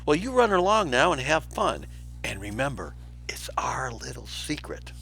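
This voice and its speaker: Casting: scheming adult male lion for a storybook, read by a man, coaxing with false concern, swooping pitch. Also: sing-song, normal speed, gravelly, a bit bright, slightly rough, soft background music, heavily acted. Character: sly, manipulative adult male lion, villainous uncle. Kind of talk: children's storybook read aloud.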